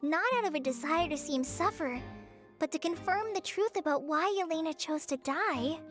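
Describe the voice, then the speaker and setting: Woman as a squeaky little fairy companion. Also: moderate pace, over music, natural voice. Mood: anxious. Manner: squeaky, childlike. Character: small childlike fairy companion, earnest and excitable. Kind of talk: anime game voice acting.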